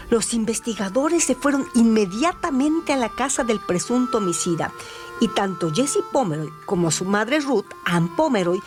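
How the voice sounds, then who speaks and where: A woman reading a scripted crime story as a radio narrator, with deliberate, dramatic phrasing. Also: medium pitch. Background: music.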